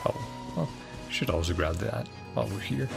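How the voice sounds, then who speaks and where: A man's voice: on the low side, sing-song, normal speed, vocal fry. A man giving casual gameplay commentary, muttering and thinking aloud to himself as he plays. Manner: mutters to self, sings syllables, thinks aloud. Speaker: streamer reacting to a game. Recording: game music behind voice. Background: game music.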